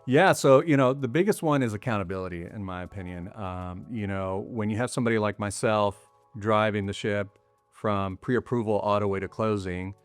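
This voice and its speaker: A middle-aged man with a fairly low voice talks easygoing and explanatory, leaning on "you know" as he goes. Faint music plays softly behind him. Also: moderate pace, clean tone, no echo. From raised partly in Costa Rica. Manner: relaxed, explanatory, many "you know" fillers. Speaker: mortgage loan officer, guest. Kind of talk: casual interview chat.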